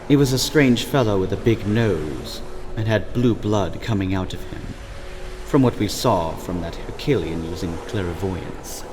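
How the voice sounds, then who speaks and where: A man's voice: low-pitched, expressive, measured pace. A man playing a callous, sly vampire operative in a fantasy audio drama, talking conversationally. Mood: callous amusement. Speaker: voice actor. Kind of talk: scripted fantasy character dialogue. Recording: clearly noisy background hum.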